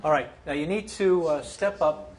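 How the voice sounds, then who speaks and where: Slightly high-voiced man running a meeting. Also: normal speed. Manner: friendly, directive, with fillers. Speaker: meeting organizer. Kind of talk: casual instructions to members. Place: club meeting room.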